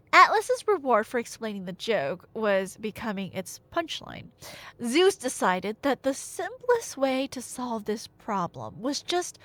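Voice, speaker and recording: Animated, high-voiced woman telling stories casually. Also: no room sound. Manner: animated, conversational, wry asides and rhetorical questions. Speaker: audio roleplay storyteller, playing a nerdy girlfriend. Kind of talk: casual, rambling storytelling in an ASMR roleplay.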